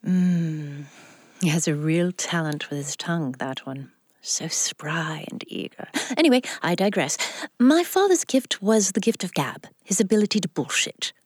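Flamboyant rich old widow, swooping delivery. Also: female voice, on the low side, animated, a little slow, no echo, studio quality. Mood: flirtatious, indulgent. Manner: theatrical, grand, flirtatious drawl. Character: flamboyant, lusty, vengeful wealthy widow in her seventies. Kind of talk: audio drama acting.

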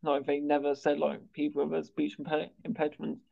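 A man with a stammer who speaks haltingly, repeating words and sounds as he hosts a conversational interview. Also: on the high side, monotone, gravelly, dark tone. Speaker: interviewer and stammering awareness campaigner. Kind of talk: conversational interview on a video call. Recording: dull, lo-fi video call audio.

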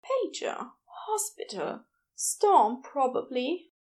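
Audiobook role: gentle, awkward gentleman played by a woman, high-pitched, breathy, prim, lilting and self-deprecating. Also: sing-song, slow, exaggerated voice. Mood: breathless, apologetic. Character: flustered, gentle, apologetic man, awkward doctor. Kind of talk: audiobook character dialogue.

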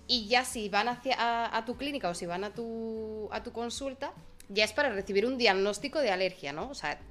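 Woman, medium pitch, chatty storyteller, video call, faint music behind. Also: fairly bright tone. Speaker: host. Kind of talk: casual chat, storytelling.

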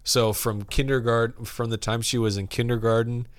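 A man's voice: mid-range voice, little pitch movement, normal speed, dry recording, clean studio sound. A young man in his twenties hosting a podcast, chatting casually, rambling and laughing often. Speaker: podcast host.

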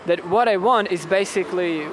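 A man's voice: high voice, normal speed, background noise. A man from western Ukraine with an Eastern European accent, talking casually and reflectively as a podcast guest. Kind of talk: casual interview.

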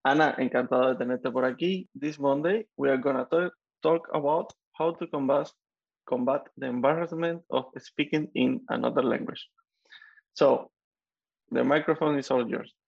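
Male podcast host, gravelly voice, dry dull lo-fi sound, friendly. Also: medium pitch, moderate pace, no room sound. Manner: friendly, enthusiastic, with fillers.

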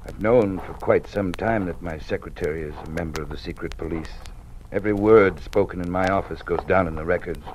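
Guy doing a tired scared old dean, super deep voice, careful and hushed, old radio hiss. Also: fairly quick, dull audio, natural voice. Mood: confiding. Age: older. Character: weary older male dean, fearful, cautious. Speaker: college dean. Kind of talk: 1950s radio drama acting.

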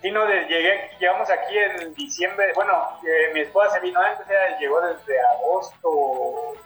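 A man with a Mexico City Spanish accent chats loosely as a podcast guest, trailing off and restarting as he lists things. His voice sits a little high. The room around him rings with echo, and faint music plays underneath. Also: normal speed, a bit bright. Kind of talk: casual chat.

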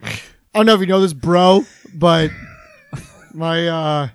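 A cocky young bro lawyer who drawls slang and rambles fast, as a man puts him on. His voice sits fairly high, close to the mic and dry. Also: clean tone, dry recording.

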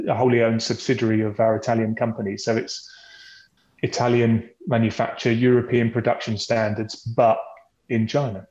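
A man's voice: medium pitch, a little mellow. A man speaking as a company representative and podcast guest, explaining the business in a conversational presentation style, often repeating words as he goes. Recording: boxy echoey room, dull lo-fi sound.

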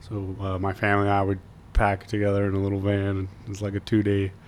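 Young man from Florida, lowish voice, easygoing, hesitant, faint hiss. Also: completely flat delivery, fairly quick, a little mellow. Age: young adult. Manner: easygoing, hesitant, many uhs. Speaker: guest. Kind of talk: casual interview chat.

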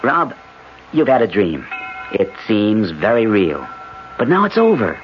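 Skeptical, animated radio-drama dad. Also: male voice, fairly low pitch. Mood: exasperated. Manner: brisk, dismissive, firm. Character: skeptical, exasperated husband and father. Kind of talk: 1970s radio drama acting. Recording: muffled 1970s radio recording, faint music.

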